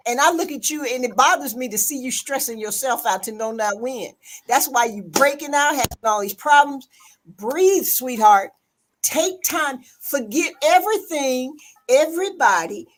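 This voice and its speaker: A woman with a high voice hosts a casual live stream chat. She talks in a gentle, coaxing way and calls the listener sweetheart and baby. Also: animated, normal speed. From a big city.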